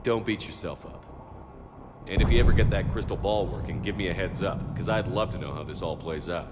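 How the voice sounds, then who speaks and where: Man acting a terse low-voiced military commander. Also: brisk, loud music behind the voice, lightly acted. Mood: steady, wry. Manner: terse, authoritative. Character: stern, determined male military commander in a sci-fi war. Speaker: game protagonist. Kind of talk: cinematic video game dialogue. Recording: echoey hall, loud vehicle noise behind.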